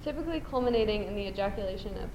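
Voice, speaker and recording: A twenty-year-old woman student giving brisk, candid interview answers. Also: medium pitch, lively intonation, highs cut off, noisy background. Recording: echoey room.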